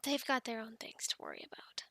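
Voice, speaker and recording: A woman's voice: fairly high pitch, huge pitch swings, fairly quick, sharp tone, no echo, heavily acted. A woman playing a friendly, encouraging dragon keeper in an ASMR roleplay, chatting playfully with the listener and cooing to animals like pets. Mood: secretive, amused.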